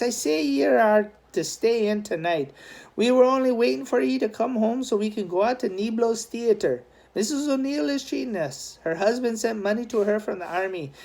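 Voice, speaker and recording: A woman's voice: on the high side, creaky, dark tone, pitched above the performer's own voice. A woman voicing a scolding, fretful young woman in a storybook reading, emphatic and chiding.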